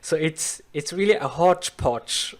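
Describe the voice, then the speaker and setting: A Swiss man whose first language is Swiss German, speaking careful, slow learner's English as an interview guest. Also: fairly high pitch, expressive. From Switzerland. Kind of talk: casual interview chat.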